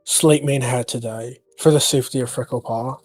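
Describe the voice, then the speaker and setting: A man's voice: mid-range voice, steady tone, brisk, a little mellow, dry recording. A man narrating a scripted third-person animal story as part of a roleplay. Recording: dry, music behind.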